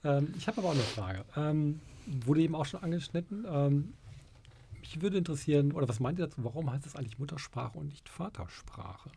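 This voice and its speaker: A man's voice: a little high, animated, fairly quick, gravelly, mellow, slight background noise. A man, a teacher, leading a classroom discussion and asking questions, speaking hesitantly with many fillers.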